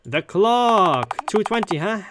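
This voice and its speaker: A gaming video host reading a visual novel aloud in an animated way and reacting to it. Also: medium pitch, takes it slowly, noisy background. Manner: animated, voices the characters while reading.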